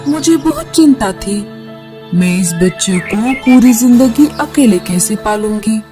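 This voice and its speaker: A woman's voice: on the high side, lively intonation, unhurried, music in the background. A woman playing a kind, caring old grandmother who explains things in a children's cartoon. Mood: kindly. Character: kind old grandmother living by a forest river.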